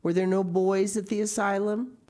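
A woman voicing a stern, practical middle-aged spinster who speaks briskly and dryly. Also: mid-range voice, little pitch movement, a little mellow, voice pushed up, natural voice. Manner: brisk, no-nonsense, dry.

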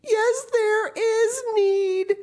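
A woman's voice: lowish voice, expressive, normal speed, a little mellow, pitched above the performer's own voice, lightly acted. A woman voicing a dramatic, imaginative orphan girl of about eleven who speaks in gushing, theatrical outbursts. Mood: distraught. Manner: gushing, theatrical, tearful pleading.

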